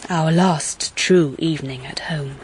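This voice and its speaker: An actress playing an earnest, wistful young woman narrating her story in a measured, literary period-drama style. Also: low-pitched, a little hiss or hum. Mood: wistful, reflective.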